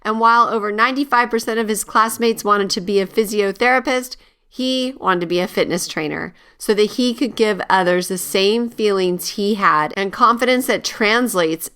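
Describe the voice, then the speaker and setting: A woman hosts a fitness podcast, sounding upbeat and enthusiastic as she introduces and questions her guest. Her voice sits in a typical middle range for a woman, and her delivery is bright and friendly. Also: mid-range voice, clean tone. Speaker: podcast host, health coach. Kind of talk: podcast hosting.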